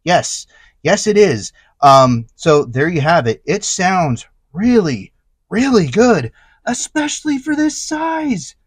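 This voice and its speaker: A man's voice: high voice, very animated, slow, husky, no room sound. A man giving a casual product review as host, stressing key words with emphatic repetition.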